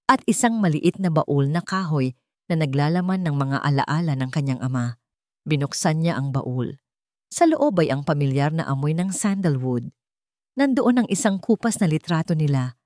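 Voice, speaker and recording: A woman's voice: deep voice, warm tone, no room sound, clean studio sound. A woman with a Filipino accent, narrating a scripted love story in a dramatic storytelling style.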